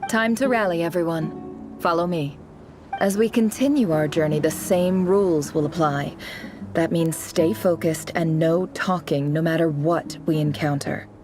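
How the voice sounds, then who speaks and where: A low-voiced, imperious female boss. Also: over music. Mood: commanding. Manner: measured, imperious, wryly sardonic. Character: haughty, shrewd, commanding female boss with a chess set. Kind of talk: video game voice acting.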